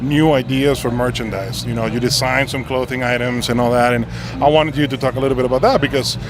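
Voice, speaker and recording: A man's voice: mid-range voice, steady tone, fast talker, background noise. A man interviewing a band, asking long, winding questions.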